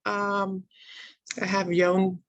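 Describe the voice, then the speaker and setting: A woman's voice: medium pitch, level tone, drawn-out words, lo-fi sound. A woman who is a native Spanish speaker introducing herself on a group video call, chatty and loose, in short broken phrases. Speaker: community worker.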